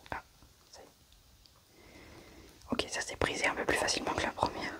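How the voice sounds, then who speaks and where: Whispering woman, ASMR roleplay. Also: a little fast. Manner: methodical, narrating each step to herself. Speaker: ASMR roleplayer playing a medical examiner.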